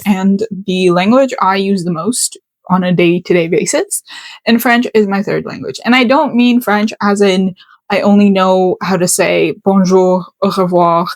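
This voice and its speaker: A woman's voice: medium pitch, dry recording. A young woman from Canada whose first language is Russian, hosting a book channel in a chatty, self-deprecating way with many fillers and repeats. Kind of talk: casual chat to camera.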